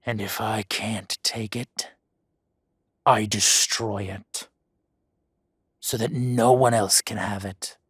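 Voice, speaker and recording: A man voicing a male dragon who poses as a mafia boss, speaking with slow, purring, mocking menace. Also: lowish voice, steady tone, fairly bright tone, slightly rough, no echo, clean studio sound, heavily acted. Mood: cold threat. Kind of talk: roleplay audio drama.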